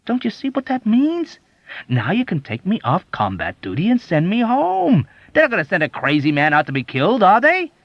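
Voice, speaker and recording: A man's voice: high-pitched, huge pitch swings, moderate pace, no room sound, voice pushed up, full character voice. A man voicing an exasperated, frantic young male airman who talks urgently and emphatically. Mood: insistent. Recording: dull dry audiobook recording.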